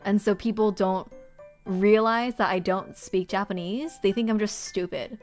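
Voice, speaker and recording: A chatty woman talks easily with her viewers while she organizes stationery, rambling from one thought to the next and breaking into laughs. Her voice sits at an ordinary middle pitch. Soft music plays quietly underneath. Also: steady tone, a little slow. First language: Korean. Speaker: streamer. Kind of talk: casual livestream chat while organizing.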